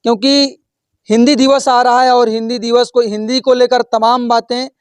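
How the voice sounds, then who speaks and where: A male host introducing a guest and asking questions briskly, often murmuring agreement while listening. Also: extremely high pitch, little pitch movement, clean tone, no echo. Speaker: interview host. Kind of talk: interview.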